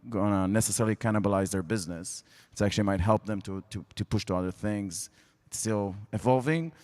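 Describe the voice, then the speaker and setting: A man with an Israeli accent talks on a conference panel in a low voice, stopping and restarting his sentences and repeating words as he works through a point. His voice rings out with the long reverb of a large conference hall. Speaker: panelist, sports media executive. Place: conference stage.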